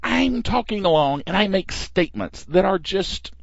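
A man's voice: high voice, normal speed, creaky, dry recording. A man hosting a podcast, chatting wryly and reading listener mail aloud. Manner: lively, wry, chatty, reads listener email aloud. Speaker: podcast host. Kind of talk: casual podcast monologue. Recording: dull lo-fi podcast audio.

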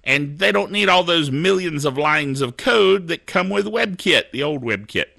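A middle-aged man hosts a tech news show in a jokey, self-mocking way. His slightly high voice is gravelly and bright. It swings widely in pitch and sounds dry and close. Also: lively intonation, moderate pace, no echo. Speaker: tech news podcast host. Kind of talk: casual tech news chat.

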